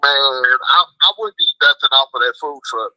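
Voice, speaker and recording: A man's voice: mid-range voice, animated, moderate pace, pushed and bright, dry recording, rough recording. An American man phoning into a show, chatting casually and telling stories in slangy, emphatic, repetitive phrases. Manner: slangy, emphatic, repeats himself. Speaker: phone-in guest, food truck cook. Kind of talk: casual chat, storytelling. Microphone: phone call.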